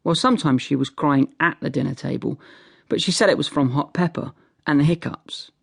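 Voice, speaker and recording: An actor playing a naive boy narrator in a radio drama, recalling his Communist-era childhood in a deadpan, matter-of-fact way. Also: fairly high pitch, a little fast, vocal fry, husky. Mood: wry, deadpan.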